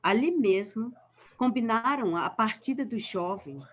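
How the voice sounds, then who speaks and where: A woman's voice: fairly high pitch, lively intonation, measured pace, lo-fi sound. A Brazilian woman from Espírito Santo reading a passage aloud to a study group, carefully and with some stumbles.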